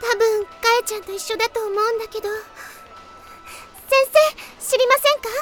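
A woman playing a perky, earnest teenage girl in an anime audio drama, cute and energetic in manner. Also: very high-pitched, brisk, soft background music. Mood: bright, polite.

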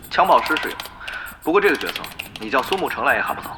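A woman's voice: a bass voice, sing-song, brisk, reverberant, in a large hall, background noise. A woman playing an evasive, grudging character from an online gaming guild in an audio drama. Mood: wry, curt. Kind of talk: Chinese audio drama acting.

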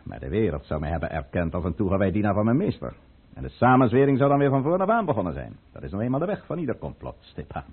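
Proud conspirator count: low, slightly husky, theatrical and commanding, on vintage narrow-band radio drama. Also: male voice, very animated, fast talker, full character voice. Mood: commanding, brooding. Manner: grave, commanding, theatrical delivery. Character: proud, resolute count, a conspirator serving Russia. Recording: muffled, narrow-band old radio play recording.

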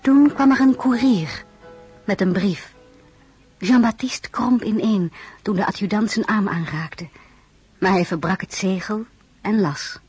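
An actress playing a reflective young wife and mother, narrating her life in a measured, intimate way in a radio drama. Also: medium pitch, darkish tone, slightly rough, soft background music.

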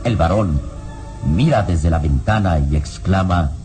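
Very deep solemn male narrator over music. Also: a little slow. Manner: solemn, suspenseful storytelling. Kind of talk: dramatic scripted radio-drama narration.

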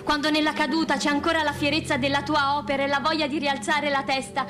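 An actress playing a proud, grieving widow, reciting poetically in a theatrical radio drama. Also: female voice, high voice, flat delivery, fast talker, music in the background, natural voice. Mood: lyrical, proud.

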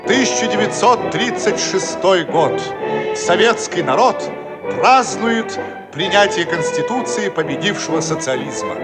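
A man with a high-pitched voice narrates a radio play like a solemn announcer. His delivery is declamatory and scripted, with loud music playing behind him. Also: animated, unhurried.

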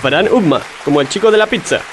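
A man's voice: high-pitched, expressive, fast talker. A man reading cast credits as a scripted radio announcer. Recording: music behind the voice.

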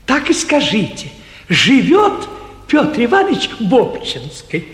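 Earnest, fawning provincial gentleman; high, animated, halting, old theatre recording with hall echo. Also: full character voice. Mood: humble, earnest. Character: eager, humble, naive provincial busybody gentleman. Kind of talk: theatrical 1940s stage comedy acting. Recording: echoey 1949 theatre radio production.

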